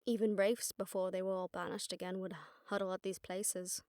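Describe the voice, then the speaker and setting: A woman's voice: medium pitch, flat delivery, normal speed, darkish tone, no echo, studio quality, natural voice. A woman playing a jaded, sardonic witch detective who sees visions of death, talking conversationally to a partner in a roleplay audio drama. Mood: explanatory, subdued.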